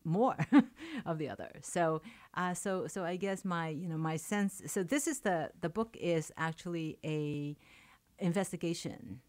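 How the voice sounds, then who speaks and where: A woman's voice: lowish voice, animated, measured pace, darkish tone, no echo. A woman from China whose first language is Chinese, a poet and professor speaking as a radio interview guest, thoughtful, with many fillers and restarts. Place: radio studio.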